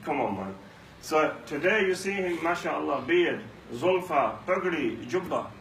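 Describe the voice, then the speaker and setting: A man's voice: fairly high pitch, sing-song, measured pace, vocal fry, a bit bright. Man preaching in echoey hall. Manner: storytelling, repeats lines for emphasis. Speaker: mosque speaker. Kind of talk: Ramadan talk to a congregation. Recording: echoey room, mic far off, faint hum.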